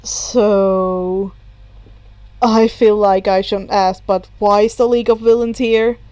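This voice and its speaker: Blunt teen boy, acted by a woman reader, lowered pitch, dry and questioning, story narration. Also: fairly even intonation, slow, dark tone, dry recording, lightly acted. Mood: wary. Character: wary, blunt teenage boy, friend of the hero.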